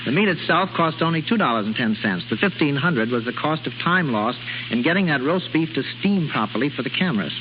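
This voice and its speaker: American male radio reporter, scripted 1930s delivery, higher voice, clearly noisy vintage recording. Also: expressive, fairly quick, few pauses, highs cut off.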